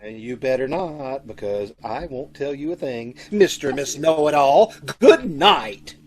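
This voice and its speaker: A man's voice: mid-range voice, expressive, a little slow, a little hiss or hum, close to an everyday voice. Man acting a nosy pompous bellhop, old radio comedy, voice swoops all over, fussy and chatty. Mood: huffy. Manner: chatty, fussy, self-important, swooping delivery.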